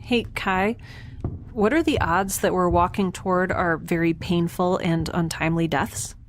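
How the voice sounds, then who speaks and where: An actress playing a nervous, rambling, curious young science nerd in a naturalistic audio drama diary, chatting geekily. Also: female voice, on the low side, unhurried, lightly acted. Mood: earnest, curious. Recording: clear background noise, ambience.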